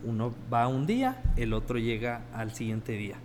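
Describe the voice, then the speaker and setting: A man's voice: mid-range voice, sing-song, moderate pace, a little hiss or hum. A man in a casual film discussion, reflecting on how the script is built. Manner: reflective, analytical, gives soft backchannel replies.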